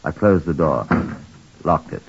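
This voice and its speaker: A man's voice: very deep voice, expressive, a little slow, vocal fry, slight background noise, full character voice. A man playing a shrewd police chief inspector who narrates wryly, in 1940s radio acting style. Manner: wry, reflective narration. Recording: lo-fi vintage radio recording.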